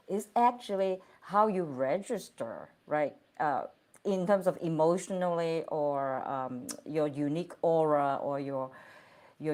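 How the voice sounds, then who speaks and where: A woman's voice: fairly low pitch, darkish tone. A woman hosting an online interview over a video call, speaking in an effusive, encouraging way and laughing often. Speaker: host.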